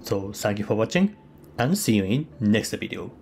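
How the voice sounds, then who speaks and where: Man with halting non-native English. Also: lowish voice, very animated, darkish tone, slight background noise. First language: not English. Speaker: travel vlogger. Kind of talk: voice-over travel guide.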